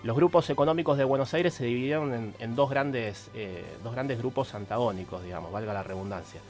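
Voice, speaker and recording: A man's voice: mid-range voice, brisk, darkish tone. Argentine man telling history over music. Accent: Argentine (Rioplatense). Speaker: radio history columnist. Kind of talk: explanatory historical storytelling for radio.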